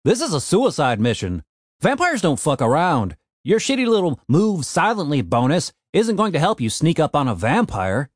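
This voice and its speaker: Man voicing a gruff, sarcastic, exasperated boss. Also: a little high, huge pitch swings, normal speed, dry recording, studio quality. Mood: scornful. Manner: blunt, sarcastic. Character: gruff, cynical, foul-mouthed male boss, exasperated.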